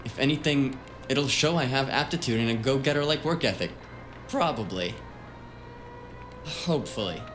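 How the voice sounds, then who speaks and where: An actor playing a reckless, breezy cyberpunk hacker sidekick in an audio drama, flippant and evasive in manner. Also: male voice, mid-range voice, moderate pace, pauses often, natural voice. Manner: flippant, animated, hedging. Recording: music behind the voice.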